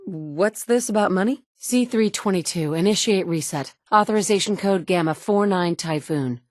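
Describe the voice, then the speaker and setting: A woman's voice: medium pitch, moderate pace, darkish tone, no room sound, close to an everyday voice. A woman voicing a tough, sardonic survivor in a wasteland, talking in a dry, blunt way with sarcastic asides, recorded close up in a studio booth. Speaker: player character. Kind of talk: video game voice acting. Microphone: close studio mic.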